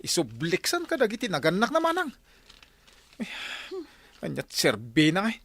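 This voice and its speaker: A man's voice: high-pitched, huge pitch swings, moderate pace, fairly bright tone, breathy edge, exaggerated voice. Man acting an exasperated, overworked young husband. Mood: weary grumbling. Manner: grumbling, exasperated. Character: overworked, complaining young husband, weary of his wife. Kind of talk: radio comedy drama acting.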